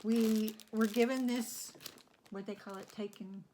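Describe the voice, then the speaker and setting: A woman's voice: on the high side, fairly even intonation, a little slow, background noise. A woman speaking as a guest on a vlog, in a casual show-and-tell chat.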